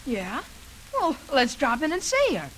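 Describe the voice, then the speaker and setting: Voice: sits high, huge pitch swings, a little slow, a bit bright, full character voice. A performer playing an eager, nosy young boy in an old radio drama, colloquial and pushy. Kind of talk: 1940s radio acting. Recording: noisy old radio recording.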